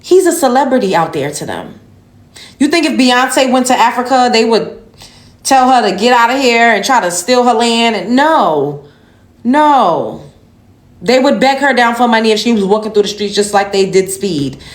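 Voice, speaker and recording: A woman's voice: a little high, animated, moderate pace. Sassy New woman in echoey room. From Brooklyn. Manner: sassy, addresses viewers as y'all. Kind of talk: casual social media rant.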